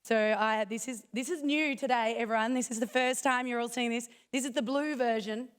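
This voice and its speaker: A woman speaks at a conference with a croaky voice she is fighting to keep going. Her pitch sits fairly high and the tone stays smooth beneath the rasp. She talks in a chatty way, full of quick self-joking asides. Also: fairly even intonation. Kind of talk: casual conference talk. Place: conference hall.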